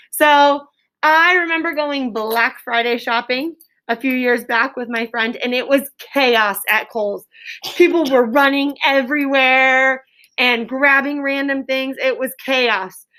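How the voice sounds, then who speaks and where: An American woman teaching an elementary class, giving clear instructions and spelling things out for the children. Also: sits high, sharp tone. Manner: clear instructing, exaggerated drawn-out modeling. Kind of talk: interactive classroom lesson. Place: school classroom with pupils.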